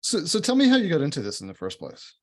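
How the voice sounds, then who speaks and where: A man's voice: on the high side, wildly swooping pitch, quick pace. Conversational male podcast host. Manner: probing, conversational, some restarts. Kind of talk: casual interview questions.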